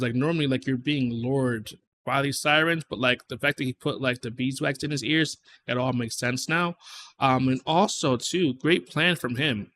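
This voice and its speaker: A man hosting a reaction video, commenting casually on music and thinking aloud in halting fragments. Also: mid-range voice, fairly bright tone.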